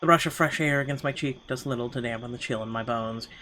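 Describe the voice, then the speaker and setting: A man's voice: medium pitch, quick pace, pushed and bright, a little hiss or hum. A man reading first-person narration from a game aloud in a dramatic storytelling style. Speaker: gaming channel host reading a visual novel aloud.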